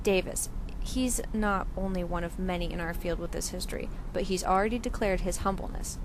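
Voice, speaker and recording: A woman's voice: lowish voice, fairly quick, noisy background, lightly acted. A woman playing a businesslike, dryly sarcastic government agent in a scripted fan-dub drama, with a matter-of-fact delivery. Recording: loud music behind.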